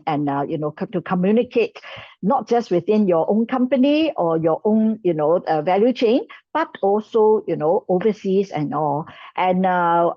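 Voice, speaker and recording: Halting woman on a lo-fi video call. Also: mid-range voice, dry recording. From Hong Kong. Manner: halting, many fillers and restarts. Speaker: chief sustainability officer, panelist. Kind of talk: conference panel talk over video call.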